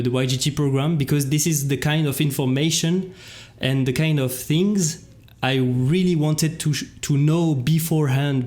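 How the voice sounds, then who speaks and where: A man's voice: on the high side, darkish tone. A man hosting a casual livestream chat and introducing guests, often repeating words as he gropes for phrasing. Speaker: show host.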